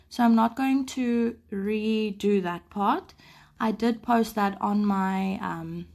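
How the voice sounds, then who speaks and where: A woman who is sick teaches a live maths lesson, explaining patiently and clearing her throat often. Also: a little high. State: sick, voice a bit off. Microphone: phone camera over paper.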